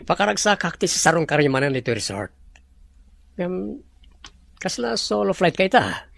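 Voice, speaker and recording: A man with a Filipino Ilocano accent acting a courteous, self-assured gentleman in an audio drama, with polite, probing speech. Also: high-pitched, very animated, normal speed, gravelly, full character voice.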